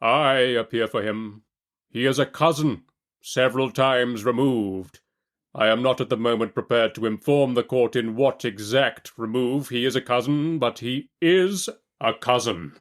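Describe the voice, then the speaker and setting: Man putting on a booming, sepulchral little lawyer. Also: a little slow, close to an everyday voice. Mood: solemn, ponderous. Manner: solemn, ponderous. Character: very little male lawyer with terrific sepulchral bass voice. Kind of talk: audiobook character acting.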